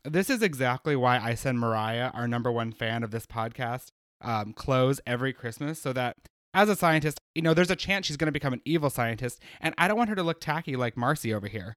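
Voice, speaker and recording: A man's voice: mid-range voice, animated, fairly quick, a bit bright, no echo, clean studio sound. A man co-hosting a podcast, rambling and giggling through casual chat with plenty of fillers. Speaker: podcast co-host.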